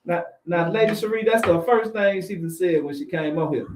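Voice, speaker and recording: A man hosting a radio show, chatting casually with a guest in a brisk, bantering way. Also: high-pitched, a little mellow, a little hiss or hum. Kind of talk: casual interview and show patter. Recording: roomy studio sound.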